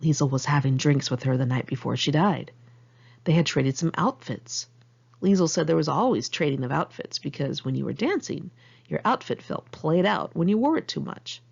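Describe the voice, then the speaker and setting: Husky, creaky-voiced woman reading her memoir. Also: sits low, animated, normal speed, darkish tone. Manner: conversational, candid, slangy. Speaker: author reading own memoir. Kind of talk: audiobook narration of a personal memoir, read in one take. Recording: muffled lo-fi amateur recording.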